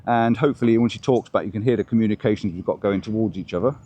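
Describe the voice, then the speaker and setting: A man's voice: on the low side, racing, warm tone, dull audio, a little hiss or hum. A man casually demoing and testing gear for his vlog, thinking aloud as he goes. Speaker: vlogger reviewing gear. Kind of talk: casual product demo. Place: outdoors on a bike, then indoors.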